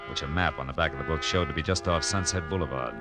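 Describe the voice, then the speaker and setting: A man's voice: rumbling low, quick pace, loud music behind the voice. An actor playing a tough, wry male private detective in a vintage radio drama, clipped and casually probing. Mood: wry narrating. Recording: old radio drama recording.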